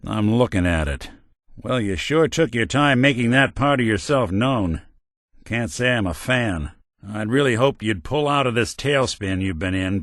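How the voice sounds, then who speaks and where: A man's voice: medium pitch, fairly bright tone, no echo, clean studio sound, lightly acted. An actor voicing a world-weary male synth detective in a video game, a robot carrying an old cop's memories, talking in a hard-boiled drawl. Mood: disappointed. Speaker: companion character.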